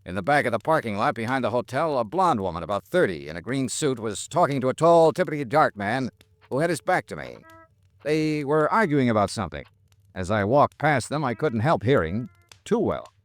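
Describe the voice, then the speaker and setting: An actor playing a tough, wry insurance investigator in a 1950s radio drama, with a clipped hard-boiled delivery. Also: male voice, medium pitch, big pitch swings, a little fast, no room sound, lightly acted. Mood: wry, matter-of-fact. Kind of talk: 1950s radio detective acting, first-person expense-account narration.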